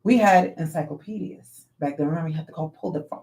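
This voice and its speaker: A woman in her forties with a New York accent co-hosts a talk radio show. Her voice has a gravelly, fry-laden edge, and she speaks bluntly and frankly, telling stories in casual conversation. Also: deep voice, fast talker, a crowd in the background. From Latin America. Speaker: co-host.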